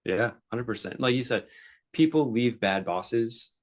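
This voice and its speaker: A man's voice: medium pitch, expressive, a little slow. A man hosting a podcast, speaking earnestly and encouragingly in a casual interview. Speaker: podcast host.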